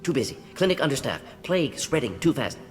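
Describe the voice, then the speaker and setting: An actor playing a male alien scientist who talks in quick clipped fragments and drops his articles. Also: mid-range voice, very animated, soft background music, natural voice. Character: fast-talking male alien scientist, twitchy. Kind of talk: video game voice acting.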